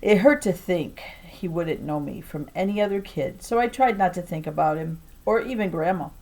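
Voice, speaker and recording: A woman teacher reading a children's novel aloud to a class with expressive, emphatic and deliberate storytelling delivery. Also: lowish voice, low-quality recording.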